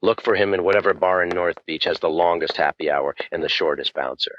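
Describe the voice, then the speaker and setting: An actor playing a world-weary, wisecracking private eye in a hard-boiled radio drama, dry and cynical. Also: male voice, sits low, brisk, a bit bright, dull audio, a little hiss or hum. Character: sardonic, beaten-up male waterfront private eye, world-weary.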